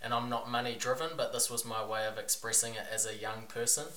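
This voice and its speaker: A man's voice: mid-range voice, flat delivery, fast talker, bright, forceful tone. A man from New Zealand with a New Zealand accent, a podcast guest and business owner talking in a frank, laid-back way. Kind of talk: casual interview chat. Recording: roomy echo, distant mic, faint hiss.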